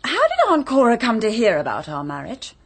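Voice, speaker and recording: A woman's voice: on the high side, wildly swooping pitch, fairly quick, slightly rough, exaggerated voice. An English woman in a British radio drama playing a strong-willed young married woman, forthright and inquisitive. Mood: brisk, inquisitive. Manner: forthright, bossy, questioning.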